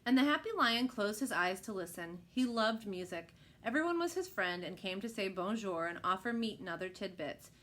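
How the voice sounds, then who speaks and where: Woman, expressive storybook narration, medium pitch, children's read-aloud. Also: moderate pace, few pauses. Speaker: storyteller reading a picture book aloud.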